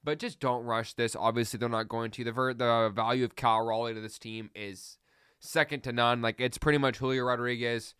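An excitable man hosts his own podcast, rushing ahead and restarting sentences as his thoughts outpace his words. His voice is smooth and clear at a medium pitch. The sound is dry and close, with no room around it. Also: no room sound. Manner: fast, excitable, many restarts. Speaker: podcast host. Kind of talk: solo sports podcast talk.

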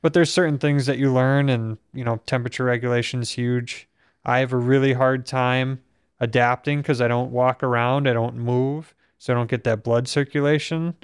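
A young man from Minnesota, hosting a casual conversation and speaking in a steady, reflective way, with a voice that is not very strong on breath. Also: medium pitch, moderate pace, smooth, no echo, clean studio sound. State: weak breath support. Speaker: podcast host.

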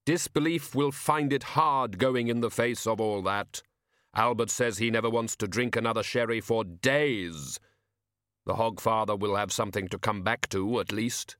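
A man voicing a solemn skeletal reaper in an audiobook, speaking slowly and weightily in a matter-of-fact way. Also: mid-range voice, a bit bright, no echo, clean studio sound, natural voice. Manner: slow, weighty, measured delivery.

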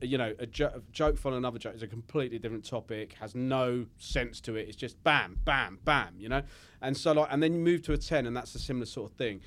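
A man, a comedian guest talking casually, rambling and self-mocking, laughing easily. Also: medium pitch. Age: 40s. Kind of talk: casual chat.

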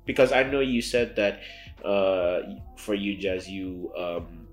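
Low-voiced young man hosting, halting phrasing. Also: fairly even intonation, soft background music. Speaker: podcast host. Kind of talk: casual interview. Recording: roomy echo.

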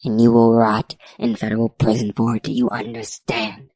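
Woman acting a low, stern, threatening male federal agent. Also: level tone, normal speed, mellow, slightly rough, no echo, exaggerated voice. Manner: stern and scolding.

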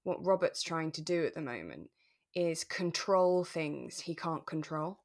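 A woman co-hosting a podcast, talking through a radio drama in a relaxed, thoughtful, explanatory way. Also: low-pitched, measured pace. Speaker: podcast co-host.

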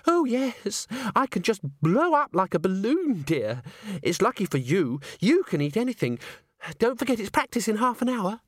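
Gushing, airheaded young female singer, played by a male narrator: high-pitched, bubbly, breathless, swooping storybook delivery. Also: very animated, moderate pace, no room sound, studio quality. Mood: airy cheerfulness. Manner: breathless, exclaiming, cheerful. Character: gushing, girlish, empty-headed young woman singer.

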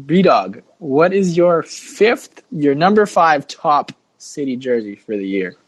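A man's voice: fairly high pitch, big pitch swings, takes it slowly, breathy edge, dry recording, low-quality recording. A man hosting a casual sports podcast with jokey, slangy banter. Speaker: podcast host.

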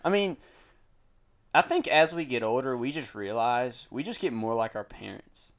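A man's voice: mid-range voice, expressive, normal speed. A young man co-hosting a casual podcast, loose and swearing freely, often trailing off mid-thought. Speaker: podcast co-host. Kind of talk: casual chat.

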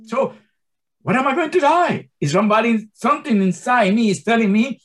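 A man's voice: very high-pitched, expressive, moderate pace, a bit bright. A man in his sixties giving an informal Bible teaching to a small group, speaking English as a second language with grammar slips and frequent stammered restarts. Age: 60s. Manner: impassioned, many stammered restarts.

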